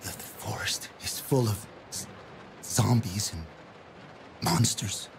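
An actor playing a badly wounded soldier in a video game, gasping and halting as he gives a dread-filled warning. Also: on the low side, noisy background. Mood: dying, terrified. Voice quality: gravelly, breathy, faltering. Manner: halting, gasping, struggling to get words out.

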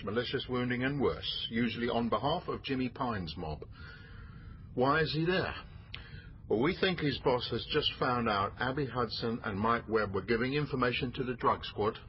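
A businesslike male detective boss, played by an actor for a radio crime drama. He speaks at middling pitch with firm authority. The sound is slightly dull with faint noise. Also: natural voice. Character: brisk male police detective boss running a murder inquiry.

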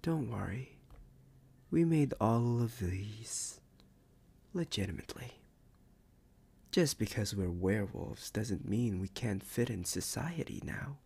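A man voicing a possessive, smug werewolf alpha in an ASMR roleplay, husky and breathy, often whispering, with teasing deliberate pauses. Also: medium pitch, darkish tone, full character voice.

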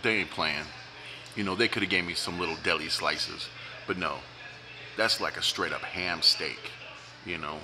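A man's voice: deep voice, normal speed, pauses often, background noise. A man, reviewing food in a casual, joking vlog while eating at a restaurant. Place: restaurant dining room, indoors.

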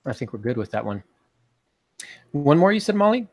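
A man's voice: fairly high pitch, very animated, moderate pace, no room sound. A man in his forties giving casual advice as a podcast co-host on a video call, thinking aloud in a tentative way. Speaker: podcast co-host and writing advisor. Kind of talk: casual advisory talk. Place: small home room with bookshelves, indoors. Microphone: video call on earphones.

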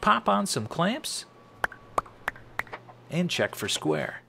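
A man's voice: high-pitched, wildly swooping pitch, drawn-out words, gravelly, noisy background. A middle-aged American man narrating a scripted woodworking tutorial in a wry, tongue-in-cheek manner. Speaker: host of a woodworking channel.

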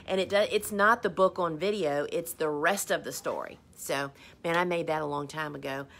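A woman's voice: deep voice, moderate pace, fairly bright tone. A woman, hosting a casual live stream and answering viewer questions in a chatty, rambling, self-deprecating way. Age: 50s. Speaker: host.

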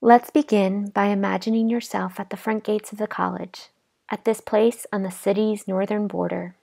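A woman leading a guided imagery relaxation exercise in a calm, gentle, unhurried manner with pauses. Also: medium pitch, vocal fry. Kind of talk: guided imagery meditation narration.

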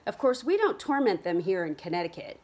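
A woman reading the lines of a serious, cautious middle-aged Puritan aunt who gently admonishes her niece. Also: mid-range voice, very animated, brisk.

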